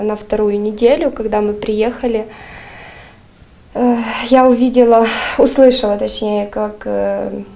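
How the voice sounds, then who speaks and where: Young woman, interview testimonial, dark low-key timbre, halting earnest delivery on a lapel mic. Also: gravelly. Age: young adult. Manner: earnest, hesitant with many fillers. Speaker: patient's wife giving a testimonial. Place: studio, plain dark backdrop.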